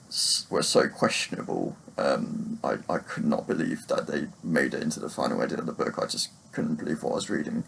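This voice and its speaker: A man giving a casual, unscripted book review, speaking in a halting, hesitant way with long pauses. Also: very deep voice, creaky, slight background noise, rough recording. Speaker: book reviewer, video blogger.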